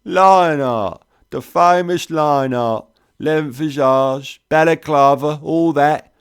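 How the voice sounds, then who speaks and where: A man's voice: on the high side, takes it slowly, clear, resonant tone, voice pushed up. A man voicing a scarred whiskey trader with a thick London cockney accent, drawling and calling everyone mate. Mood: chummy drawl.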